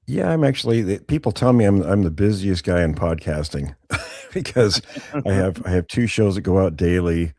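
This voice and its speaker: A man, an author and podcaster, answering interview questions in a smooth, deep radio voice and laughing easily. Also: animated, moderate pace, warm tone, no room sound, studio quality. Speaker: author and podcast host, guest.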